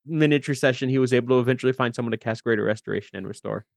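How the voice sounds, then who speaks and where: A man chatting as a podcast guest, telling anecdotes in an animated, rambling way. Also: mid-range voice, racing, vocal fry, no room sound, studio quality. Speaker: podcast guest and tabletop player. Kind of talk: casual chat, telling gaming anecdotes.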